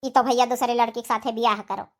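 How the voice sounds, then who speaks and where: Cartoon village mother put on by a woman, ageing, Awadhi accent, very high pitch, impatient, nagging. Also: steady tone, quick pace, natural voice. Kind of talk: amateur cartoon story dialogue.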